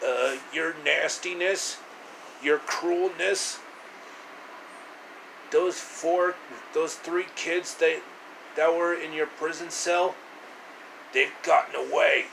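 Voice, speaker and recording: A nervous storybook henchman played by a man grovels to a villain in a halting, deferential way. The voice is a little high, with faint room noise behind it. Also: gravelly, heavily acted, low-quality recording. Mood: nervous, grovelling. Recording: steady air-conditioning noise.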